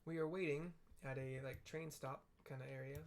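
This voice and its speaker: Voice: medium pitch, fairly even intonation, moderate pace. Rambling self-deprecating game streamer. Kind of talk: casual gameplay commentary.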